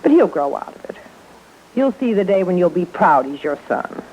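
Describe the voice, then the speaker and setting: Gentle, peacemaking mother. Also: on the low side, big pitch swings, moderate pace, a little hiss or hum. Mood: soothing. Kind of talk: 1960s radio drama acting. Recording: dull vintage radio.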